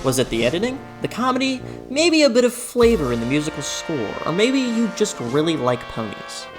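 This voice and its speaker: A woman's voice: fairly low pitch, very animated, a little fast. A woman narrating a scripted video essay as host, with a theatrical, sarcastic delivery and exaggerated emphasis. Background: background music.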